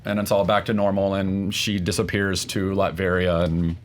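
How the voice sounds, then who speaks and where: A man debating pop culture informally as a contestant, earnest and halting, often acting out imagined reactions. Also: low voice, little pitch movement, background noise. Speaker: debate contestant on a movie debate show.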